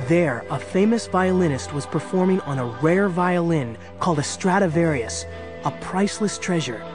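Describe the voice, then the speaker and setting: A man as suspenseful superhero-story narrator, slightly high. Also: lively intonation, moderate pace, close to an everyday voice. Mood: dramatic. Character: dramatic male storyteller of a superhero adventure. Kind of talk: scripted dramatic narration. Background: dramatic music.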